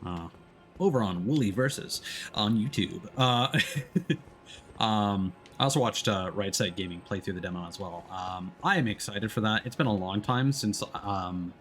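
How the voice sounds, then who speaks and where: A man's voice: fairly low pitch, normal speed, fairly bright tone. A man giving casual, off-the-cuff live commentary as he streams a video game.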